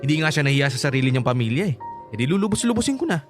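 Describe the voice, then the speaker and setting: Hot-headed, scornful son for radio drama, Filipino, higher-pitched voice, sarcastic and taunting, light music underneath. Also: male voice, very animated, racing. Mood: bitter, taunting. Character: bitter, hot-headed grown son scorning his father.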